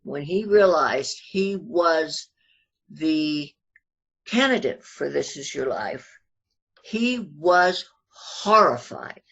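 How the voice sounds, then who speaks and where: A woman's voice: low-pitched, animated, takes it slowly, a bit bright, slightly rough, muffled recording. An older woman talking casually and fondly as an interview guest, sharing memories. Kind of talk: fond reminiscing in a casual interview.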